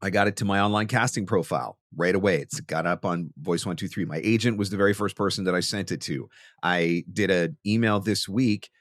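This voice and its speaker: Low-voiced man hosting an advice podcast. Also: a little fast, no room sound, clean studio sound. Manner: emphatic, conversational, addresses listener directly. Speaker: podcast host; voice actor. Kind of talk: marketing advice podcast monologue.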